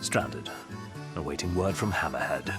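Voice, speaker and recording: An actor voicing a composed, articulate young lord's companion in a video game, speaking precisely and seriously. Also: male voice, rumbling low, unhurried, over music.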